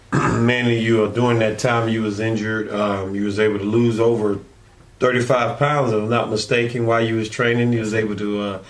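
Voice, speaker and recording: Halting male interviewer, lowish voice. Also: little pitch movement. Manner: halting, many "uh" and "um". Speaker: interviewer, show host. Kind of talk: interview questions.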